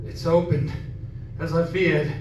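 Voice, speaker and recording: A man's voice: on the high side, flat delivery, takes it slowly, a little mellow. A man acting a grave, superstitious figure who gives melodramatic warnings in an old-time radio drama. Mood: foreboding. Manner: solemn, melodramatic. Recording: distant, echoey room with background noise.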